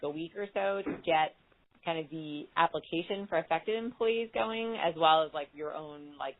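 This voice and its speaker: A woman giving an informal, wordy account to colleagues on a conference call in a conversational way. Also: deep voice. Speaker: corporate philanthropy staffer on a call. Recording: muffled, band-limited conference call line.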